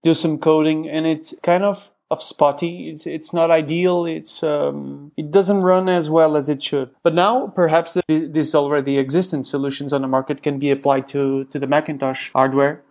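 A man's voice: fairly high pitch, fairly even intonation, moderate pace, vocal fry, a little mellow. A man co-hosting a casual tech podcast, hesitant and often repeating his words. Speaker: podcast co-host.

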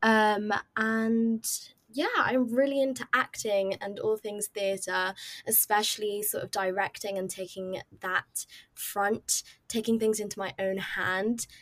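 A woman's voice: mid-range voice, level tone, slow pace, fairly bright tone. Casting: young woman, bubbly chatty podcast guest. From England. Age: young adult. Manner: bubbly, chatty, many ums. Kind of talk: casual chat.

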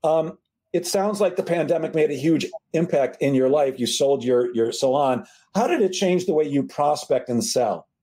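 A man hosting a podcast, talking in a relaxed, thoughtful way with his guest. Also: a little high, moderate pace. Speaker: podcast host. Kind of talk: casual interview conversation.

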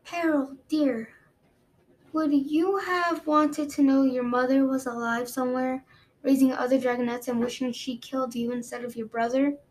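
A woman's voice: sits high, level tone, darkish tone, close to an everyday voice, low-quality recording. A woman voicing a haughty, cruel dragon queen who speaks with cheerful malice. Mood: gloating. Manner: cheerfully malicious, drawn-out, imperious. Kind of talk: audiobook character reading.

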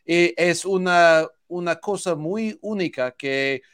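Slow halting man with a smooth voice. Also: sits high, fairly bright tone, dry recording, studio quality. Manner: hesitant, halting, searches for words. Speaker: baseball analyst. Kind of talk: hesitant panel commentary.

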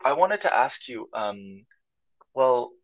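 A man's voice: medium pitch, sing-song, slow, dry recording. A young American man talking things over casually, with lots of restarts and fillers. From United States. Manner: thoughtful, many restarts and fillers, laughs often. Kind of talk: casual conversation. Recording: dull muffled sound, dry.

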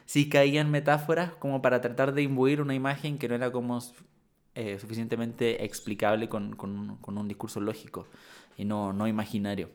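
A man speaking as a poet guest in a reflective conversation, thoughtful and halting with many restarts. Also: mid-range voice, level tone.

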